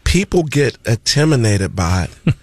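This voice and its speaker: A man with a deep voice, a guest on a radio talk show, speaking plainly and deliberately. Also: very animated, a little mellow, husky, no echo. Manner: slow, deliberate, plain-spoken. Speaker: guest, community activist. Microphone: studio microphone.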